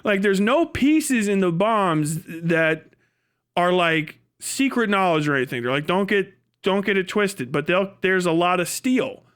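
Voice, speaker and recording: A man with an American accent and a somewhat high voice that swings widely in pitch. He rambles casually as a streamer, slangy and sweary, stretching his stories with exaggeration. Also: expressive, a little slow, fairly bright tone, smooth. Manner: breaks into laughter at own stories. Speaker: live streamer.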